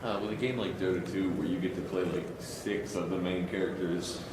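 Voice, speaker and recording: A man in the audience asking a casual, halting question at a live session. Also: deep voice, steady tone. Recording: distant, very echoey room, clear noise.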